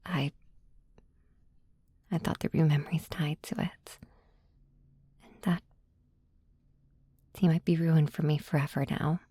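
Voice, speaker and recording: Hushed, breathy low-voiced woman. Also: fairly even intonation, slow pace, long pauses, no room sound, studio quality. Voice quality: breathy, soft. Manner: hushed, intimate. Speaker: audio drama writer and performer. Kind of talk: scripted ASMR narration.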